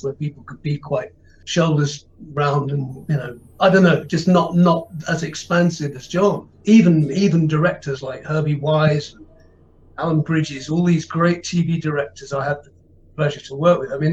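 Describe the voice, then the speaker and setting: A man's voice: fairly high pitch, a little mellow. An older man as an interview guest, reminiscing in a discursive, anecdotal way and rephrasing as he goes. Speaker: interview guest with a career in television. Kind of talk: reflective anecdotal conversation. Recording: muffled lo-fi line with faint noise.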